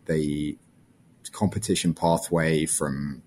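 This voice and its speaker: A man's voice: very deep voice, steady tone, slow, a little mellow, slight background noise. A man, answering interview questions in a friendly, reflective way with small restarts. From London, England. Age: 20s. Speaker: guest.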